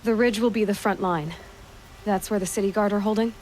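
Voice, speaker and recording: A woman plays a determined young heroine in a fantasy game. She speaks directly in a medium-pitched voice, without ornament, over clearly noisy ambience. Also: steady tone, brisk, darkish tone, close to an everyday voice. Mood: calm, resolute. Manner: direct, resolute, unadorned. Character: determined, plain-spoken young woman warrior. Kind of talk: video game cutscene acting.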